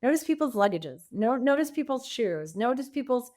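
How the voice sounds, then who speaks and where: A woman's voice: a little high, sing-song, moderate pace, darkish tone. Woman guest telling casual stories. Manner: informal, illustrates points with anecdotes and acted-out quotes. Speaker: podcast guest, author and coach. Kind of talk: casual conversational storytelling.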